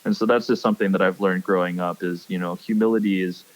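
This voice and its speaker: A young man from Hawaii, a pro angler, chatting as a podcast guest and speaking haltingly with many fillers. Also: low-pitched, fairly even intonation, muffled recording. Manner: hesitant, many fillers and restarts. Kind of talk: casual podcast chat.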